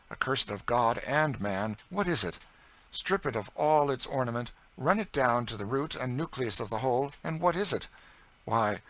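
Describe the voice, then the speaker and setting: A man playing a witty, teasing young gentleman who banters with his cousin in an audiobook reading. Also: mid-range voice, pitched above the performer's own voice. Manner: bantering, ironic, then impassioned. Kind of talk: audiobook character voice.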